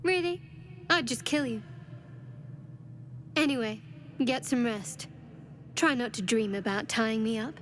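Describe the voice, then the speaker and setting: A woman's voice: a little high, unhurried, long pauses, natural voice. A woman playing a guarded young female adventurer in a fantasy video game, with a cool, dryly teasing manner. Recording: clear background noise.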